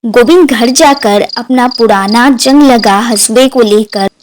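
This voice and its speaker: A woman's voice: on the high side, takes it slowly, slight background noise. A woman narrator reading a scripted moral story for a cartoon.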